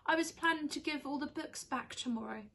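A woman's voice: high-pitched, fairly quick, vocal fry, a bit bright, distant mic, pitched above the performer's own voice. A woman voicing a meek, apologetic male thief in a children's storybook, sniffly and pleading. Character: sorry, sheepish male book thief, meek. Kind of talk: children's storybook character reading.

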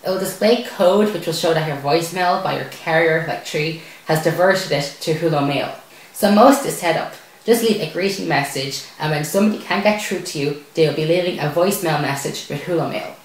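A man's voice: a little high, fairly quick. Young guy doing a tech how-to, really echoey room. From Ireland. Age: young adult. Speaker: tech video host. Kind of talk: scripted tutorial narration to camera. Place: small bare indoor room at a desk.

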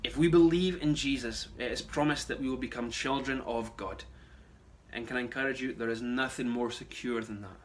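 A man's voice: medium pitch, a little fast, slight background noise. A man in his thirties giving an earnest, emphatic short sermon to camera indoors. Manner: earnest, emphatic, repeats key phrases. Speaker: pastor. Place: small room at home, indoors.

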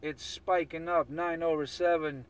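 A man's voice: on the high side, steady tone, measured pace, clear, resonant tone. A man chatting casually in CB radio slang, often repeating his phrases. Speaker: CB radio operator. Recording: distant mic, noisy radio hiss.

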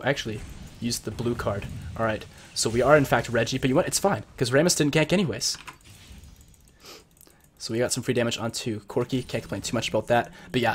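A young man with a cold, sounding a bit husky and deeper, casually explaining strategy as he plays and comments on a video game at his computer. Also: a little hiss or hum. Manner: chatty, explains strategy while playing. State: sick with a cold. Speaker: gameplay commentator. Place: home room at his computer.

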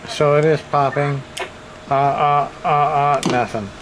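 A man giving casual hands-on repair commentary as a repairman hosting his own video, halting and muttering to himself as he works. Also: on the high side, fairly even intonation, noisy background. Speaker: radio repairman and video host. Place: indoor repair workbench.

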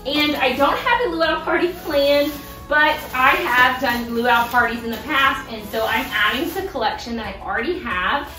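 A woman in her thirties chatting casually about her shopping haul, bubbly and quick to laugh, recorded in a home kitchen. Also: high voice, bright, forceful tone, far from the mic. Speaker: vlogger. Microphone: camera across the room. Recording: roomy kitchen, voice from off-mic, music behind.

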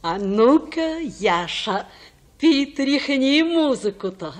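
Unhurried old village grandmother. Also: sits high, big pitch swings, full character voice. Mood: playful command. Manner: folksy, unhurried storytelling. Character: old village grandmother, lively, fond of song and dance. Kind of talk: radio drama acting.

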